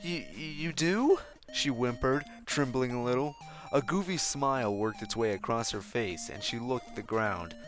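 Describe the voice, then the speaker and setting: A man narrating a fan fiction story in an expressive, scripted reading style, with music behind. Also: mid-range voice, normal speed.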